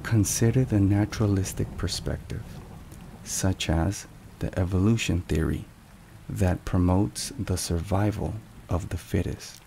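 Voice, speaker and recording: Slow, deep, gravelly man in soft ASMR. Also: low-quality recording. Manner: solemn, measured, deliberate phrasing. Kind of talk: ASMR scripted narration, soft-spoken devotional talk. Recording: steady rain and thunderstorm noise. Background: rain and thunder.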